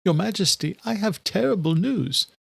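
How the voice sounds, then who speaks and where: An anxious young-sounding servant woman from a gothic vampire audiobook, acted by a man. The voice is lifted high and hushed, urgent yet always deferential to a superior. The sound is dry and close. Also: lively intonation, normal speed, gravelly, husky, no room sound, full character voice. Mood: upset, apologetic. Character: anxious, deferential female vampire servant bearing bad news.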